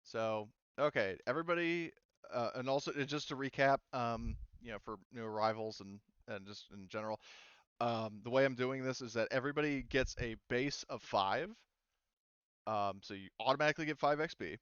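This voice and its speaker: Smooth low voiced guy game mastering, halting easygoing explanations, dry slightly muffled audio. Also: a bit bright, no room sound. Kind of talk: casual explaining to players at a tabletop game session.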